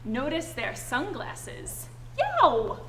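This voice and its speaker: A woman with a high voice speaks to children as an educator at a read-aloud, animated and encouraging. She is recorded live indoors in an echoey room, with clear background noise. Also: extremely slow. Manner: encouraging, addresses children with endearments. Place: indoor school family event, live audience.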